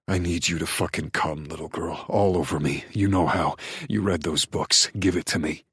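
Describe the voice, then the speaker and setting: A man voicing a commanding, possessive and devoted male lover in an audiobook romance, with a low, hushed growl and a firm, intense manner. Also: steady tone, moderate pace, slightly rough, no room sound, studio quality, full character voice. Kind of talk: audiobook romance character acting.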